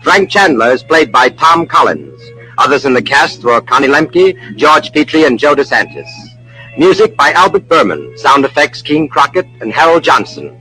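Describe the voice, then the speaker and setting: A male radio drama announcer with a sharp, forceful voice. He delivers scripted lines formally and emphatically, with faint music behind him on an old broadcast recording. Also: mid-range voice, breathy edge, poor audio. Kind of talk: scripted old-time radio narration and credits.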